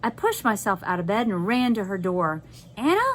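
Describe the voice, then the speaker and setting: A woman reading a children's novel aloud with expressive, dramatic narration. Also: mid-range voice, moderate pace, slight background noise.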